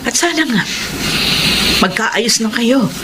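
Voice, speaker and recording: An actress with a Filipino accent playing a caring elder confidante in a radio drama, with hesitant, expressive phrasing. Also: female voice, a little high, takes it slowly, rough recording. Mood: accepting, concerned. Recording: loud music behind voice.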